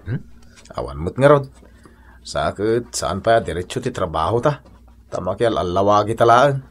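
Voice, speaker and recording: A man playing a poor, resigned husband and father in a radio comedy drama, sighing and philosophising, with an Ilocano accent and a husky voice. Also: lowish voice, expressive, moderate pace.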